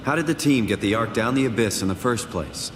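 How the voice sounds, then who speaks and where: A man's voice: mid-range voice, brisk, a little hiss or hum, natural voice. An actor playing a wry, uneasy everyman survivor in a sci-fi horror video game, speaking in an incredulous, conversational way. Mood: determined. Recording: echoey, long reverb, music behind.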